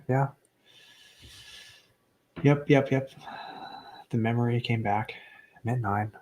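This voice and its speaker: A young man casually commenting as he unboxes graded trading cards, understated and matter-of-fact. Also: gravelly. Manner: low-key and understated, self-described as not excitable.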